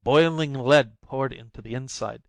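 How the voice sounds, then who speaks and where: A man's voice: on the high side, lively intonation, measured pace, fairly bright tone, no echo, voice pushed up. A man reading a story aloud, voicing a worn-out mother in despair, bitter and frantic, rising to screams. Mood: bitter mocking. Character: desperate, worn-out young mother at breaking point. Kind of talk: audiobook reading.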